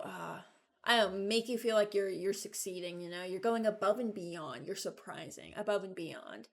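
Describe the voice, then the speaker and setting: A woman giving casual, unscripted commentary as a video creator, hesitant and thinking aloud. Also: medium pitch. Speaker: video creator ranking game voice lines.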